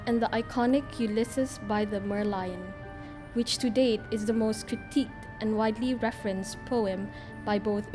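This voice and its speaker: Female host voice-over, formal scripted intro, slightly high-pitched, music bed behind. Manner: formal, reading a prepared biography. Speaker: interview host narrator.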